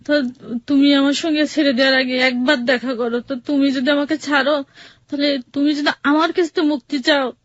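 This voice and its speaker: A Bangladeshi woman tells her own story in a high voice. She sounds plaintive and halting, repeating words in everyday colloquial speech. The audio is dull and very dry. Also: fairly even intonation, no echo. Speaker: interview guest telling her life story. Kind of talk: personal account in colloquial speech.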